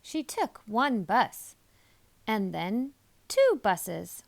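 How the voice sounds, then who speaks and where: High, animated woman reading a storybook. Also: creaky. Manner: expressive, deliberate emphasis on key words. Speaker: storybook reader. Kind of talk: animated children's story narration.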